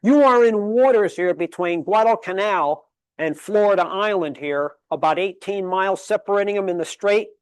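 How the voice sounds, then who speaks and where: A man's voice: sits high, normal speed, clear, resonant tone, no echo. A man giving a history lecture at a public library, emphatic and fond of rhetorical questions, rattling off dates and figures. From Connecticut, USA. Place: library meeting room.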